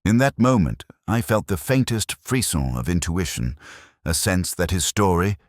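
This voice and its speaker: Very deep male narrator, measured and reflective. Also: lively intonation, dry recording, clean studio sound. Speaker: audiobook-style detective story narrator. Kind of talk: scripted literary mystery narration in the first person.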